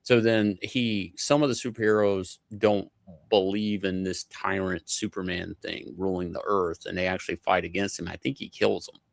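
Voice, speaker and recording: Very deep, gravelly man chatting casually. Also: fairly even intonation, moderate pace, dry recording. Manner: slangy, explanatory. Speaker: co-commentator explaining game lore. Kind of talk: casual chat.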